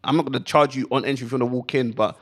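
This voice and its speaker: A young man in casual comic chat, animated and joking, slangy, repeating phrases for emphasis as a guest comedian. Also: mid-range voice, racing. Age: young adult. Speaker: stand-up comedian, radio guest.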